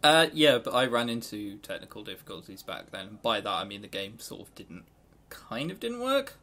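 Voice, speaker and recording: A man co-hosting a podcast in casual chat, talking informally and haltingly with repeated words. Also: lowish voice, sing-song, bright, forceful tone. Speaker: podcast co-host.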